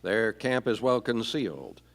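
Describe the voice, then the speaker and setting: A man's voice: fairly low pitch, big pitch swings, measured pace. A man playing the dramatic narrator of an old-time Western radio serial. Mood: suspenseful. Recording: live stage hall, long reverb. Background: music.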